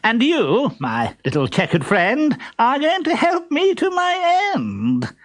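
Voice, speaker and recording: A man's voice: very high-pitched, wildly swooping pitch, takes it slowly, fairly bright tone, no room sound, clean studio sound, voice pushed up, heavily acted. A man voicing a vain, bossy poet suitor in a storybook, with a theatrical, chuckling delivery that savours every rhyme. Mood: bossy, smug. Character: vain, giggling suitor poet with gap teeth, bossy and smug.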